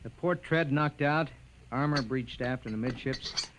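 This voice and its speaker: An actor playing a stiff, proud military officer, formal and clipped, in a 1950s radio drama. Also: male voice, medium pitch, a little slow, close to an everyday voice. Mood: formal, testy. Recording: noisy old radio recording.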